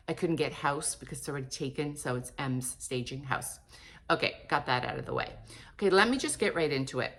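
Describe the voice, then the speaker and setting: A woman in her late fifties with a low-pitched voice speaks directly to her viewers. Her delivery is casual and candid, like a personal vlog. She rambles conversationally, often correcting herself mid-thought. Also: a bit bright. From Portugal, emigrated with parents. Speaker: vlogger and author.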